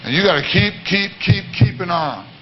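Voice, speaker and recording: A man with a baritone voice giving an earnest, repetitive sermon-style talk to a church congregation. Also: animated, normal speed, highs cut off, slight background noise. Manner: earnest, repetitive, many restarts. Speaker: worship leader. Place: church service.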